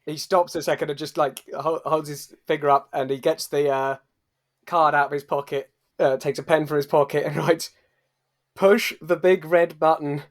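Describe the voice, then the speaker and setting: Slightly high-voiced man improvising roleplay. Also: steady tone, normal speed. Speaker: roleplay podcast player. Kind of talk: improvised narration and casual banter.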